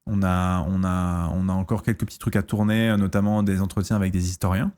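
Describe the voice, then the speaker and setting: A man in his thirties from France, chatting casually on a stream, answering questions and pausing often with fillers and restarts. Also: deep voice, level tone, a little mellow, smooth. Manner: hesitant, lots of fillers and restarts.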